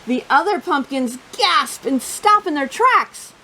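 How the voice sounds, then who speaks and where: A woman hosting storytime for young children, reading and chatting in simple, expressive phrases. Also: sits high, takes it slowly, poor audio.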